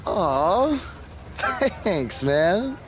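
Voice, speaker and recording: An actor playing a young, good-natured underdog pro wrestler in a video game story, with a casual, sarcastic delivery. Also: male voice, high voice, sing-song, drawn-out words, background noise, full character voice. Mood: amused, awkward.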